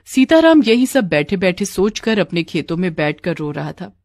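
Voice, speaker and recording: Lively woman story narrator, lowish pitch. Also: a little hiss or hum. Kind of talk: scripted cartoon story narration.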